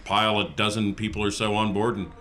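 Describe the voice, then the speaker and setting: A man plays an earnest sci-fi rebel at a game table. His voice is low with a bright, forceful edge, and he talks steadily and deliberately. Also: level tone. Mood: earnest, resolute. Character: steady, earnest male rebel adventurer planning a rescue. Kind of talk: improvised tabletop roleplay.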